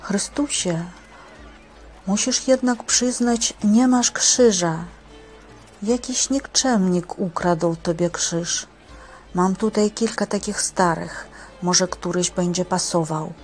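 A woman's voice: fairly low pitch, slow pace, darkish tone, faint music behind. A woman playing an earnest, devout believer in a religious drama, speaking in a respectful, declamatory way. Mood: earnest, concerned. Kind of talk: dramatic religious stage-play acting.

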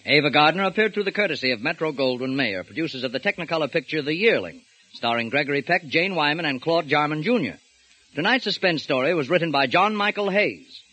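A man reading closing credits on a 1940s radio show in a formal, measured way. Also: on the high side, animated, sharp tone. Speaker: announcer.

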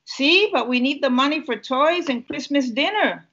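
A woman's voice: sits high, expressive, normal speed, a bit bright, lo-fi sound, pitched above the performer's own voice. An older woman with a Puerto Rican accent reading the part of a worried working father.

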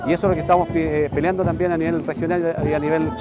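A middle-aged Chilean man giving a hesitant interview statement outdoors, pausing often. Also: on the high side, little pitch movement. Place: outdoors at a street rally. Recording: loud music behind the voice.

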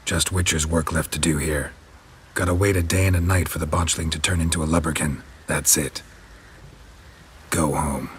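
A man voicing a stoic, blunt, world-weary monster hunter in a video game, terse and deadpan with a gravelly voice. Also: extremely low pitch, normal speed, heavily acted. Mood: stern, blunt. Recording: loud music bed.

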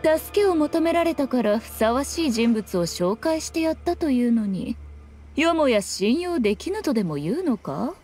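A sly haughty fox shrine lady, high voice, old-timey lofty talk, game music behind. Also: big pitch swings, darkish tone. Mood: sly, teasing. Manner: archaic, lofty, unhurried speech. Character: sly, haughty fox shrine priestess, teasing and self-assured.

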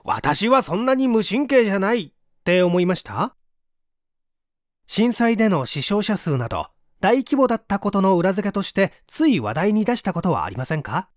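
A narrator reads a nonfiction book aloud, explaining point by point. The delivery is scripted and clearly articulated, with lively swings in pitch. The recording is dry and close, with no room sound. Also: normal speed, dry recording. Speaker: audiobook narrator.

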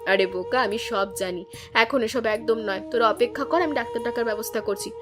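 A woman's voice: a little high, fast talker, close to an everyday voice. A woman voicing a kindly, forgiving middle-aged village auntie in a cartoon, with a motherly, caring manner. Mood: caring, resolute. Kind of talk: Bengali cartoon voice acting. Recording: music behind the voice.

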